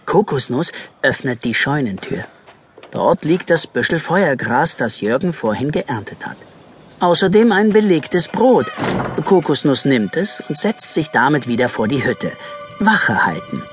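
Animated, dramatic male children's story narrator. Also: fairly high pitch, a little slow. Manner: suspenseful, dramatic. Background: music.

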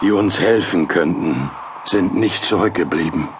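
A male actor playing an older church elder in a radio play, speaking gravely and deliberately. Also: low voice, background noise. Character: aging church elder, solemn and burdened.

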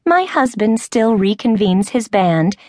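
A woman's voice: medium pitch, big pitch swings, unhurried, a little mellow, no room sound, studio quality, voice pushed up. A woman voicing a gushing, chattering short woman meeting her childhood friend, in a storybook reading. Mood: chatty. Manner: effusive, chattering.

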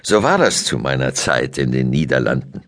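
A man's voice: extremely low pitch, sing-song, normal speed, fairly bright tone, exaggerated voice. An actor playing an elderly painter who fondly looks back on his youth in a children's audiobook. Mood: fond, admiring.

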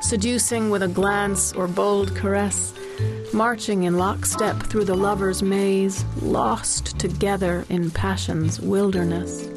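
A woman narrating a literary story in verse as an audiobook, with measured, dramatic recitation. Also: mid-range voice, flat delivery, over music.

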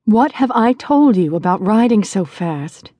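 A man voicing a gentle but firm young mother of five who scolds softly. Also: high voice, very animated, a little slow, dry recording, voice pushed up, full character voice.

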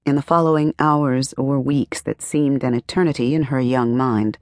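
A woman narrating a literary novel as an audiobook, with measured, expressive storytelling and careful phrasing. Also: rumbling low, dry recording, clean studio sound. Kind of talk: scripted literary fiction narration.

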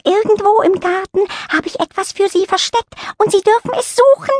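A woman voicing a haughty, excitable female cat in a children's story, with formal, affected, gushing speech. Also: extremely high pitch, moderate pace, no room sound, clean studio sound, voice pushed up. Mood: secretive, proud.

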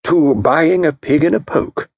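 A man's voice: a little high, darkish tone, husky, dry recording, pitched above the performer's own voice. A man voicing an aggrieved middle-aged wife, bursting out with jealous complaints. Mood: miserable. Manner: rushed, complaining outbursts.

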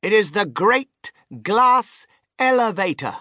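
A man performs an eccentric storybook inventor, exuberant and grandly unbothered. The voice is bright and swoops widely, with emphatic, courteously dismissive delivery. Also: high-pitched, sing-song, slow pace, dry recording, studio quality, voice pushed up, heavily acted. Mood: breezy, grand.

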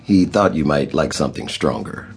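An actor playing a polite, courteous, formal restaurant waiter in an audio drama. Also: male voice, a bass voice, lively intonation, normal speed, gravelly, full character voice. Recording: faint music behind.

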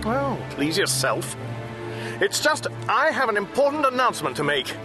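An actor playing a lonely, exasperated Englishman stranded in prehistory in a radio comedy, halting and drily sarcastic, with an English accent. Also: high-pitched, sing-song, over music. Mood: manic, resolute. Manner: flustered, halting, dry sarcasm. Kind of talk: radio comedy drama acting.

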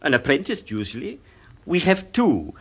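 An actor playing an affected, highly strung male society hairdresser in a radio drama, precious and melodramatic. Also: huge pitch swings, normal speed, a bit bright, husky, heavily acted. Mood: huffy, defensive. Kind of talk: British radio drama acting.

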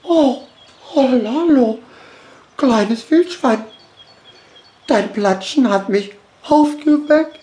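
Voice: high-pitched, drawn-out words, long pauses, mellow, echoey room. A performer voicing a friendly, sleepy, helpful little beaver in a children's storybook. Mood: sleepy, welcoming. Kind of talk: children's storybook character acting.

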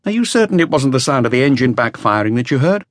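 A man voicing a young male police secretary who asks measured, probing questions. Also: medium pitch, very animated, dry recording, studio quality, voice pushed up, natural voice. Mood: calm.

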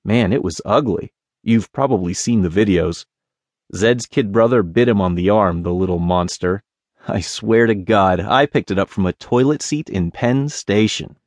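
Casting: lonely American male zombie, wry and casual, lowish voice, close dry studio sound, audiobook. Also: moderate pace, dry recording. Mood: wry, reminiscing. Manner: wry, casual, self-deprecating. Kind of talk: first-person audiobook character narration.

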